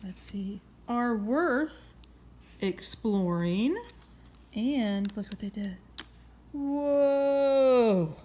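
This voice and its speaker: A woman's voice: a little high, lively intonation, extremely slow, warm tone. A woman hosting a children's story time, reading aloud expressively and encouragingly, with questions for her listeners. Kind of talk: interactive picture-book read-aloud.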